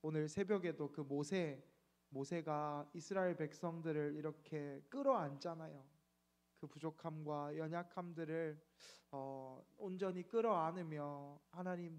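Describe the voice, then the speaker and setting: A man's voice: fairly high pitch, darkish tone. A man in his thirties, a pastor, giving a reflective midweek sermon with frequent fillers. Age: 30s.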